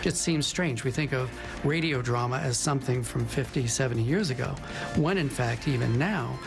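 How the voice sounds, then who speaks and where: A man's voice: mid-range voice, moderate pace, creaky, over music. A man giving conversational commentary in an interview about his field. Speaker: interviewee on radio drama.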